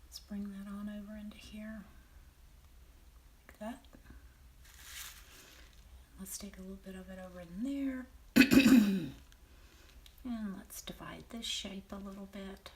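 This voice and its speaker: A woman with a Southern American accent and a raspy, half-whispered voice, calmly talking through a painting demonstration and often clearing her throat. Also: medium pitch. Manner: unhurried, thinking aloud while painting, frequent throat clearing. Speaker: painting teacher.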